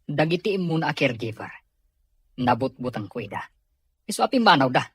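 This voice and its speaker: A man playing a scheming, frustrated crook with a husky voice in an audio drama. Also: mid-range voice, big pitch swings, a little fast, no echo, clean studio sound. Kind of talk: Ilocano audio drama acting.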